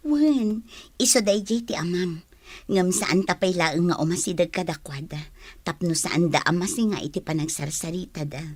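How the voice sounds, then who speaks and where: A woman's voice: lowish voice, normal speed, slightly rough. An actress with an Ilocano accent playing a friendly, helpful godmother and office aide in a radio drama. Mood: gentle, amused.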